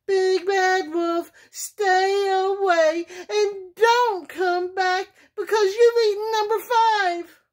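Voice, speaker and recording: A woman's voice: extremely high pitch, fairly even intonation, slow, voice pushed up. A woman voicing little storybook ducklings singing a childlike, taunting warning. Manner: sing-song, childlike.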